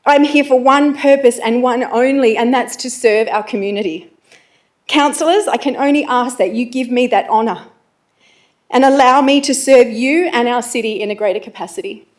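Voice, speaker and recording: A woman's voice: fairly high pitch, normal speed. Earnest Australian woman councillor. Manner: earnest, confident, read-out. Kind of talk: prepared council speech.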